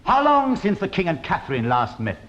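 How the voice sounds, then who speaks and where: A haughty scheming courtier, high lively voice, dull sound with faint hiss. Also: male voice, fairly quick, full character voice. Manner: haughty and instructive. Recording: dull stage recording.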